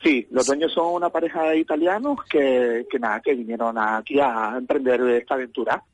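A man from the Canary Islands with a Canarian Spanish accent, a restaurant manager chatting on air, friendly and quick to agree. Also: mid-range voice, no echo, muffled recording. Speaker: restaurant manager, phone guest. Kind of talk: casual phone interview.

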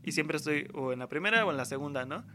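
Slangy young Mexican man, slightly high voice. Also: animated, fast, sharp tone, a little hiss or hum. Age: young adult. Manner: slangy, rambling, acts out quoted speech. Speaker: university student. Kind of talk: casual conversation.

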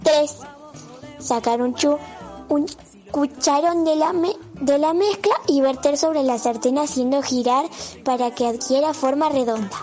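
A woman's voice: sits high, a little slow, a little mellow, soft background music. A woman with an Argentine accent reading instructions aloud to children on a radio show, stumbling now and then.

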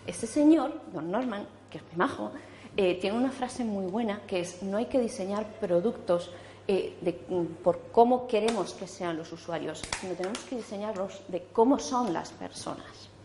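A woman's voice: medium pitch, moderate pace, dark tone, a little hiss or hum. Woman, 40s, Spanish accent, chatty speaker, hall echo. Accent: Spanish from Zaragoza. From Spain. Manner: chatty, many fillers and restarts. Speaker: conference speaker. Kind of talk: casual conference talk. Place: conference hall.

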